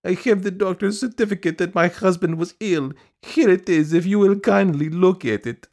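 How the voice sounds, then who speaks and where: A man voicing a whining, stubborn middle-aged woman who pleads her case in a shrill, insistent wail from a storybook. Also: a little mellow. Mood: pleading. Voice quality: shrill, piping. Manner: wailing, insistent, rapid complaining.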